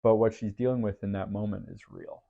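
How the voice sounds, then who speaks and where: Male podcast host, low and deep, earnest and reflective, slightly dull audio. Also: fairly even intonation, a little fast, vocal fry. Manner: earnest, faith-based, thoughtful. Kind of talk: reflective solo podcast monologue.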